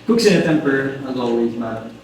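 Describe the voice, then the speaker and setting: A man playing a formal, deferential butler with a measured, dryly solemn manner in an amateur play reading. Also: medium pitch, lively intonation, darkish tone, natural voice. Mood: apologetic, formal. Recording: distant, very echoey room with hiss.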